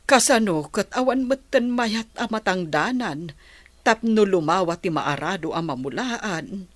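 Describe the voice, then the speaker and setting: Woman playing a patient filipino mom in a radio drama, talks really slow, kinda low voice, very dry sound. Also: animated, dry recording. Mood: concerned. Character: patient village mother giving gentle moral advice.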